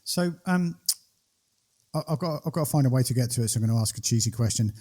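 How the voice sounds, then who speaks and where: A man's voice: mid-range voice, lively intonation, quick pace, warm tone. A man hosting a podcast in a casual interview, joking and teasing his guest. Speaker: podcast host.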